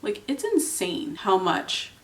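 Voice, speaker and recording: A woman's voice: mid-range voice, wildly swooping pitch, slow pace, fairly bright tone, reverberant, rough recording. A young woman from Canada, congested and nasal from a cold, chatting casually while she does her makeup. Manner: chatty, rambling, filler-heavy. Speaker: beauty vlogger.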